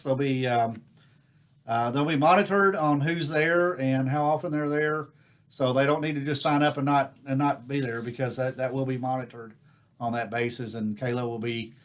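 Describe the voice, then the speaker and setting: An American man speaking informally as host of a virtual club meeting, giving announcements in a halting, rambling, folksy way. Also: mid-range voice. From United States. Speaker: Rotary district governor hosting online club meeting. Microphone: video call.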